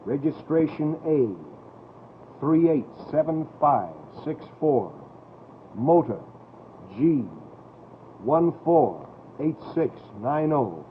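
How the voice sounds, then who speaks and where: A man's voice: fairly high pitch, big pitch swings, very slow, full character voice. A man playing a dry police radio dispatcher on night shift, in old-time American radio drama style. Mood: businesslike. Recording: muffled, hissy vintage radio recording.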